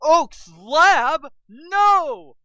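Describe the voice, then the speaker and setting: An earnest young boy trainer from a fan-fiction reading, acted out by an adult. The voice is bright and forceful, pushed high and swinging widely in pitch. It sounds close and dry. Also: animated, very slow, dry recording, heavily acted. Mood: alarmed.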